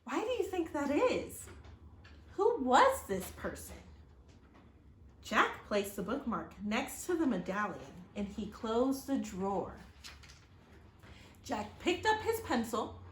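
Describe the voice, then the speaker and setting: A woman reading a children's story aloud like a teacher, expressively, pausing to address her young listeners with questions. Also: mid-range voice. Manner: expressive, acts out exclamations and gasps. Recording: roomy echo, faint background noise.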